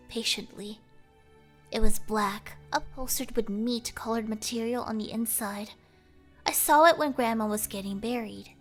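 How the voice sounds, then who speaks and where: A higher-voiced woman reads a visual novel aloud in an expressive storytelling style, adding short comments of her own. Music plays behind her narration. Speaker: let's play host reading a visual novel aloud.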